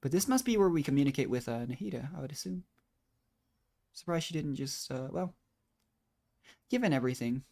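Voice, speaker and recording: A woman giving relaxed, musing, casual commentary as she streams a game. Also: rumbling low, brisk, vocal fry, pauses often. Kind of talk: casual reactive gameplay commentary. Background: game music and dialogue.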